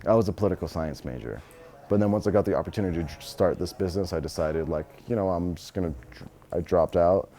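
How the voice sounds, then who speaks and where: Male, 20s, American, very low mellow voice, blunt and slangy interviewee. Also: fast, faint music behind. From Los Angeles, United States. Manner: blunt, slangy, swearing freely. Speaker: interviewee, business owner. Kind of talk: casual interview answers.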